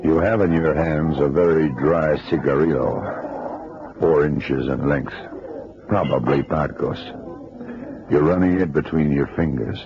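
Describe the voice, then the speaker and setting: An actor playing a calm, shrewd investigator who speaks with unhurried authority in an old radio drama. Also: male voice, very deep voice, lo-fi sound, a crowd in the background. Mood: cool command. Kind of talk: 1970s radio drama acting.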